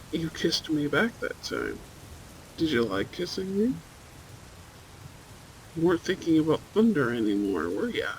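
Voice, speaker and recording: A man's voice: a little high, huge pitch swings, unhurried, long pauses, heavily acted, poor audio. A man playing a handsome, protective, flirtatious vampire in an intimate ASMR roleplay, murmuring soothingly and often near a whisper. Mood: tender, flirtatious. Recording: faint storm noise behind. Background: rain and thunder.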